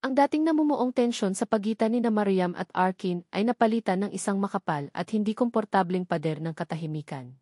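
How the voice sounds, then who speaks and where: A woman's voice: medium pitch, expressive, fairly quick, mellow, no echo, studio quality. A woman with a Filipino accent reads a scripted romantic drama story as its narrator.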